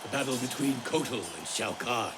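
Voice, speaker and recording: An actor playing a dry, sardonic hero who keeps time in a video game, with a wry, clipped delivery. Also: male voice, medium pitch, lively intonation, a little fast, background noise, natural voice. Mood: wry, serious. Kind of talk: dramatic video game acting. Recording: voice under loud game music.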